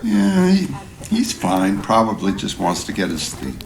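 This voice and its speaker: An actor playing a middle-aged, married neuroscience professor, wry and insistent, in an audio drama. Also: male voice, fairly low pitch, huge pitch swings, unhurried, gravelly, slight background noise, exaggerated voice, poor audio. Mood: wry, reassuring.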